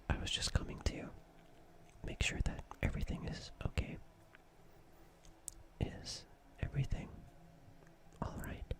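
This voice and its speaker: A performer playing a gentle, nervous alien in a whispered ASMR roleplay, speaking in a breathy, hesitant, stammering and soothing way. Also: close to an everyday voice. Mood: worried, hesitant. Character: gentle, nervous alien caring for an injured human.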